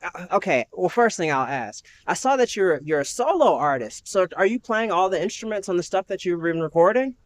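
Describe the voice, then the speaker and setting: A man's voice: high-pitched, lively intonation, a little fast, hardly any pauses. A young man hosting a podcast interview, enthusiastic and affirming, tossing in "heck yeah" often. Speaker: podcast host. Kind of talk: casual interview.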